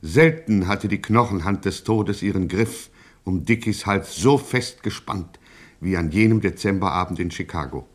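An actor playing a melodramatic radio serial narrator, solemn and declaiming with mock pathos. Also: male voice, deep voice, normal speed. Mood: ominous.

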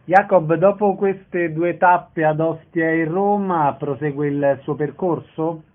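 A man's voice: on the high side, slow. A man interviewing a guest, putting short, prepared questions to him. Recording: narrow phone-like, radio-style sound.

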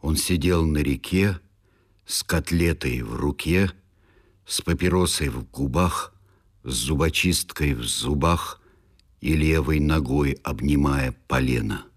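A man slowly reciting war poetry with a husky voice. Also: extremely low pitch. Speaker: reader of original war poetry.

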